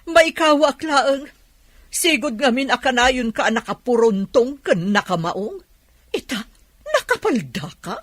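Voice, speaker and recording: A woman's voice: high-pitched, very animated, measured pace, no room sound, studio quality, exaggerated voice. A woman playing a blustery, teasing factory boss in a comedy radio drama, full of exclamations. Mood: astonished, teasing.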